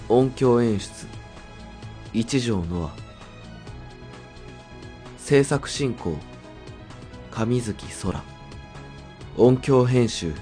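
A man reads the end credits slowly and steadily, in a low voice. His delivery is measured and announcing, with faint music under it. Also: darkish tone. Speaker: credits narrator.

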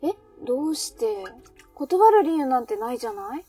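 A woman's voice: high voice, expressive, moderate pace, warm tone, no echo, recorded from a distance, soft background music. A woman playing an inquisitive, sympathetic cafe regular and confidante in a Japanese audio drama. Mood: curious.

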